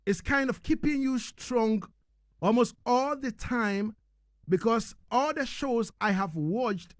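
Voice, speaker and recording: Male radio interviewer, deliberate delivery with repeated words, very dry studio-like sound. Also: high voice, dry recording. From Ghana. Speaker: radio host. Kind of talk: live radio interview hosting.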